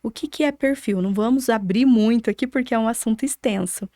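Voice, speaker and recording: Brazilian woman explaining, slightly high voice. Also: fairly quick, dark tone, no room sound, studio quality. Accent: Brazilian Portuguese. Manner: didactic, explains with examples. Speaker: podcast guest, architect. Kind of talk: explanatory interview talk.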